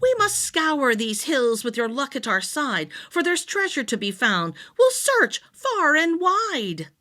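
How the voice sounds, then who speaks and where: A woman's voice: high voice, huge pitch swings, takes it slowly, bright, forceful tone, heavily acted. A woman voicing a tiny, grateful leprechaun man in a storybook, with courtly, exclaiming rhymes. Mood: excited. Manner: exclaiming, courtly rhyming speech. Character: weary, grateful little old-world leprechaun man with beard.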